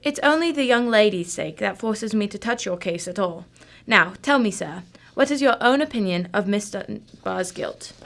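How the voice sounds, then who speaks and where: A woman's voice: medium pitch, expressive, moderate pace, creaky, a bit bright. A woman acting a shrewd, unflappable female detective in a radio drama, formal, composed and condescending. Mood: brisk, probing.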